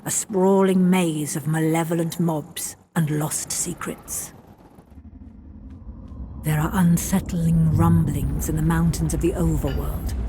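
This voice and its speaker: A woman playing a grave storyteller who narrates a fantasy video game with theatrical, ominous and deliberate phrasing. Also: deep voice, noisy background. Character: grave, dramatic female storyteller of a fantasy adventure. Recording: loud music behind. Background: dramatic game music.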